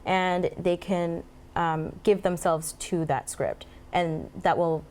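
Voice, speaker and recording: A woman's voice: lowish voice, fairly even intonation, slow, darkish tone. A woman film producer, a guest in a TV studio interview, answering earnestly with frequent "um"s. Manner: earnest, with filler ums.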